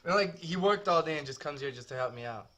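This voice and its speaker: Bright-voiced teasing man, noisy recording. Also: a little high, expressive, quick pace. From Brazil. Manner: teasing, argumentative, profane. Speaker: podcast host. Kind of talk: casual banter.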